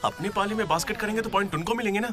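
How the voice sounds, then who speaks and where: Fast, high-voiced young college sidekick. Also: male voice. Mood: chummy, worried. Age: young adult. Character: complaining young college player, chummy sidekick. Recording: loud music over the voice.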